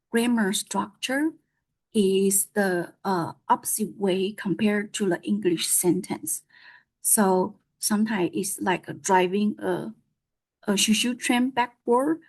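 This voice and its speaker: A woman from Taiwan with a Taiwanese Mandarin accent, a librarian giving a presentation, pausing often with "uh" between phrases. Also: medium pitch, a little mellow. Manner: halting, frequent "uh" fillers. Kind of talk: conference presentation.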